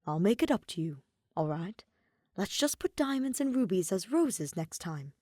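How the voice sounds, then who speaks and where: A woman's voice: medium pitch, sing-song, normal speed, dark tone, no room sound, studio quality. A woman voicing a doting but menacing royal husband in a fantasy story, soothing and authoritative. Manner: soothing, pretentious.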